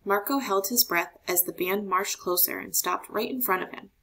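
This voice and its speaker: Creaky-voiced woman reading a children's story. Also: mid-range voice, moderate pace, pushed and bright, rough recording. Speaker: storybook reader. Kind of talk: expressive children's read-aloud narration.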